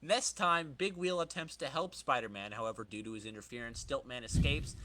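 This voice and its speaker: A man's voice: mid-range voice, a little fast, background noise. A man hosting a podcast, chatting casually and explaining trivia with enthusiasm. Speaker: podcast host. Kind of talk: casual chat with read-out trivia.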